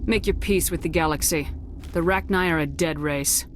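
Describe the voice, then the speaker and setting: Game lead: stern female commander, acted by a woman, lowish voice, curt and controlled, faint noise. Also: normal speed, close to an everyday voice. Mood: cold. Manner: blunt, controlled. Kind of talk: video game character acting.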